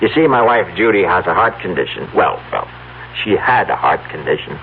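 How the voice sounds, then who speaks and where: An actor playing a desperate, pleading farmer in an old radio drama, speaking urgently and haltingly and repeating his words. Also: low voice, sing-song, creaky, heavily acted. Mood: relieved, coaxing. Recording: tinny, noisy old radio broadcast recording.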